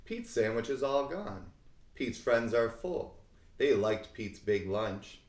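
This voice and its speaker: A man reads a picture book aloud for young children in a roomy, echoing space. His delivery is unhurried and deliberate, with a highly animated pitch that leans into key words for dramatic emphasis. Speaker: read-aloud host.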